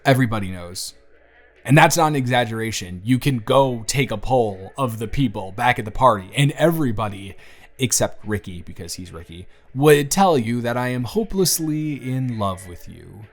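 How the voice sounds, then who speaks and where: A man acting out an earnest young guy in love with his best friend, in an intimate audio drama. He talks haltingly and conversationally at a medium pitch, with music playing softly behind him. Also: expressive, close to an everyday voice. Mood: earnest, confessing. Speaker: audio roleplay performer. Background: background music.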